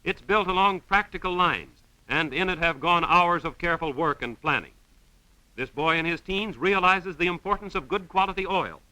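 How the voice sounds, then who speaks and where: Very bright, crisp 1930s American narrator man. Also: sits high, moderate pace, dry recording, low-quality recording. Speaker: newsreel narrator. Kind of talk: scripted 1930s film narration.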